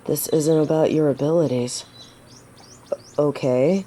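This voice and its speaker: A woman's voice: low-pitched, steady tone, measured pace, warm tone. A woman playing a gallant, teasing yet earnest female knight in a romantic fantasy audio drama, with formal, old-fashioned phrasing. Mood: gentle, cautious.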